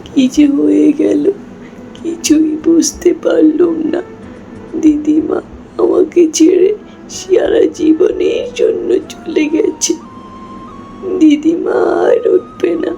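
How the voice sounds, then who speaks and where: A woman voicing a devoted, grieving village grandchild in a cartoon, with a high and plaintive voice. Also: little pitch movement, slow, creaky, warm tone, dull audio, soft background music, exaggerated voice. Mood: mournful.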